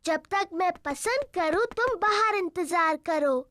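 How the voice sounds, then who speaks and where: Very high-pitched, bossy little girl piglet. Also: expressive, measured pace, fairly bright tone, slight background noise, exaggerated voice. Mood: bossy, proud. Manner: playful, assertive, childlike. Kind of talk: children's cartoon dub.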